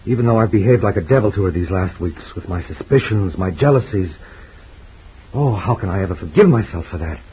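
A man's voice: low-pitched, lively intonation, fairly quick, breathy edge, exaggerated voice. A man voicing an anguished husband in an old radio drama, pleading and emotional in a 1940s acting style. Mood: desperate. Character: anguished guilt-ridden husband. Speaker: lead actor. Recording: dull lo-fi old radio recording.

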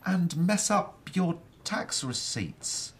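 An actor playing a middle-aged husband in a naturalistic radio drama, chatty, theatrical and wryly self-pitying with a close friend. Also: male voice, high-pitched, big pitch swings, slow, husky, heavily acted. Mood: animated, exasperated.

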